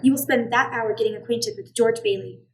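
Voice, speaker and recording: A woman acting a calm angel in authority for a radio play. Her voice sits fairly high, and there is a little noise behind it. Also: a little fast, natural voice, poor audio. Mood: firm, instructing. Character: calm senior angel in charge of heaven.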